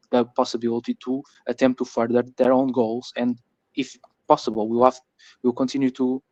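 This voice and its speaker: A man's voice: medium pitch, darkish tone. A man from Portugal with a Portuguese accent, speaking in a casual discussion and often repeating words as he searches for phrasing. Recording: muffled, echoey voice-chat audio.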